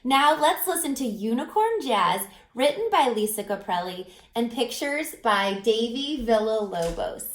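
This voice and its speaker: Lady teacher reading a picture book to kids, takes her time, voice goes up and down a lot, echoey room. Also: on the high side. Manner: expressive, pausing to ask listeners questions. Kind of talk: children's picture-book read-aloud.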